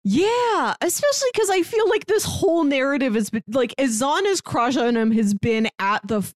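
A woman's voice: high-pitched, animated, moderate pace, no echo, studio quality. A woman co-hosting a podcast, chatting casually and expressively as she discusses stories. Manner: acts out characters' lines while retelling. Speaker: podcast co-host reviewing comics.

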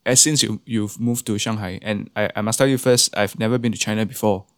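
Singaporean man, smooth and low. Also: steady tone, fairly quick, dry recording, studio quality. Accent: Singaporean English. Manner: hesitant, many fillers and restarts. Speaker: interviewer. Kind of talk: casual interview.

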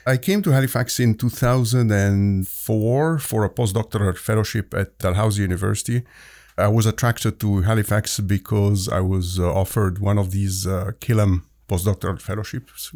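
A man in his forties, originally from Egypt, a professor speaking as a guest in a conversational interview, explaining at length with frequent pauses. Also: fairly low pitch, a little mellow, dry recording, clean studio sound. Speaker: physics and chemistry professor, guest.

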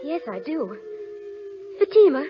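An actress playing a practical, loyal young sister with an earnest, theatrical delivery in an old-time radio drama. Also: female voice, high voice, sing-song, measured pace, over music. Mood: urgent, alarmed. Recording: noisy, muffled old radio recording.

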